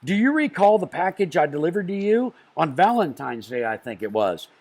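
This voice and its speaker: A worried small-town postman from a storybook, acted out by a male reader. He is apologetic and earnest. His pitch rises and falls a lot. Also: sing-song, normal speed, darkish tone.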